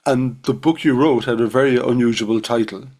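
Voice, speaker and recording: A man's voice: mid-range voice, fairly even intonation, moderate pace, darkish tone. A middle-aged man hosting a podcast, informal and jokey, telling anecdotes and asking questions casually. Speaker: podcast host. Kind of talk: conversational podcast interview.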